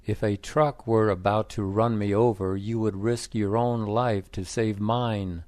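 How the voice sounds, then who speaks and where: A man voicing an exasperated, sarcastic husband, lifting his pitch on questions. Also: steady tone, unhurried, darkish tone, dry recording, close to an everyday voice.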